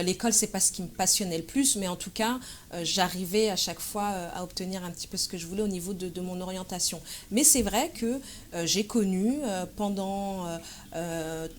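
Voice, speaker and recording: A woman of about forty from France, answering interview questions in a measured, hesitant way with frequent pauses and fillers. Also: medium pitch. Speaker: interview guest, association president.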